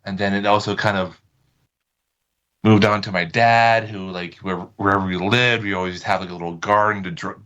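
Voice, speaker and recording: A man's voice: fairly low pitch, fairly even intonation, moderate pace, fairly bright tone, clean tone. A man speaking as an interview guest, informal and hesitant as he feels for his words. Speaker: podcast guest. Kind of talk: casual interview chat.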